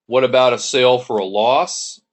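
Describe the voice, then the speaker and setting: A man teaching an accounting lesson, working through the entries one step at a time and clearing his throat now and then. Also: medium pitch, normal speed. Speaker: accounting instructor. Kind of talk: lecture over slides.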